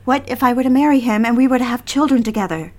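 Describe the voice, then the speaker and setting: A woman's voice: a little high, fast, natural voice. An actress playing a hurt, defiant young woman in an audio drama, emotional and defensive with her mother. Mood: pleading. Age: young adult. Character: defiant, hurt young secretary daughter having an affair.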